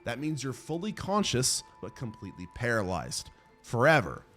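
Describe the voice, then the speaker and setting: Male video host, mid-pitched and very animated, dramatic scripted horror commentary with sardonic asides. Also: moderate pace, soft background music.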